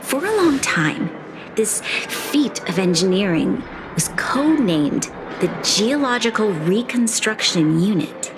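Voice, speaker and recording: A woman playing an earnest, resolute young female leader in a video game cutscene, speaking in a measured, formal way. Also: mid-range voice, lively intonation. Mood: solemn, proud. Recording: loud game music behind the voice. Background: music.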